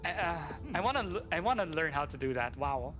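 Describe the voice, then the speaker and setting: A man chatting casually as a live game streamer, in an excitable, effusive way that repeats itself. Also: fairly high pitch, brisk, muffled recording, noisy background. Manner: excitable, repeats thanks, chuckles often. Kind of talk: casual livestream chat. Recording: game music behind. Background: game music and character voices.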